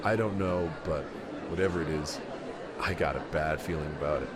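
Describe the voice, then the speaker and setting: A man's voice: rumbling low, normal speed. An actor playing a wary, laconic military man on a covert mission in a radio drama, hesitant and understated. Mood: wary, subdued. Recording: distant, very noisy with loud crowd ambience.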